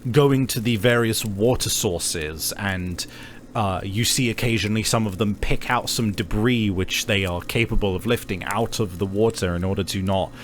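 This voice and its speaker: A man narrating a tabletop roleplaying game as game master, in a halting, conversational storytelling manner. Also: lowish voice, a little hiss or hum.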